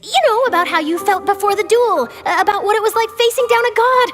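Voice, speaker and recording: A woman's voice: very high-pitched, fast, in a large hall. An actress playing an eager, chatty, persistent young female news reporter in a video game, with a bubbly, pushy manner. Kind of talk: animated video game voice acting.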